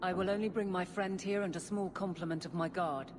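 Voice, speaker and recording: Brief: no-nonsense guard captain, voiced by a woman, slightly low, firm, game audio with noisy ambience. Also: fairly even intonation, a little fast, natural voice. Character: stern female guard captain, dutiful.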